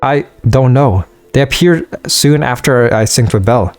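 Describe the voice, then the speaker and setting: A man's voice: medium pitch, normal speed, no room sound, soft background music, lightly acted. A man reading as a protective spirit deer. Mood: guarded. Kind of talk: reading game dialogue aloud.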